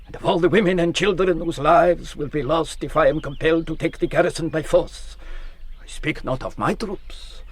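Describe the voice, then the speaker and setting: An actor playing a courteous, shrewd French general, formal and persuasive with quiet menace, in a period radio drama. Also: male voice, fairly high pitch, moderate pace, breathy edge. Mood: quietly menacing.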